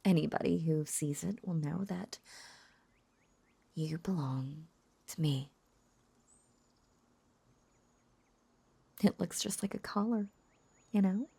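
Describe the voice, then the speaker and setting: Woman acting a breathy, slow, lovesick witch. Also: lowish voice, warm tone, no echo, exaggerated voice. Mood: possessive, bashful. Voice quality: breathy, whispery. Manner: intimate, halting, whispery and coaxing. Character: lovesick, possessive young female witch, lonely and clingy. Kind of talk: intimate audio roleplay acting.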